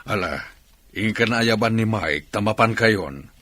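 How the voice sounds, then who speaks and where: A man's voice: fairly low pitch, measured pace, a bit bright, no room sound. A man with an Ilocano accent playing a kind, concerned boss in a radio drama. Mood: kindly.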